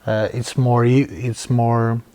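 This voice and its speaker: Slow, halting man asking questions. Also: medium pitch, flat delivery, mellow. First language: Portuguese. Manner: halting, searching for words. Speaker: podcast host. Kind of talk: interview question.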